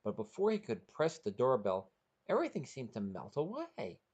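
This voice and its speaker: A man's voice: medium pitch, huge pitch swings, normal speed, darkish tone, husky, lo-fi sound. A man hosting a podcast and narrating a short story from a script.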